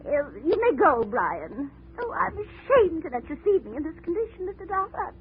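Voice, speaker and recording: An actress playing a melodramatic, self-pitying, tearful wife in a 1940s radio drama. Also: female voice, extremely high pitch, big pitch swings, normal speed. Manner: wailing, histrionic, swooping. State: tearful, crying. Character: melodramatic, self-pitying wealthy wife fretting over scandal. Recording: dull, noisy 1940s radio recording.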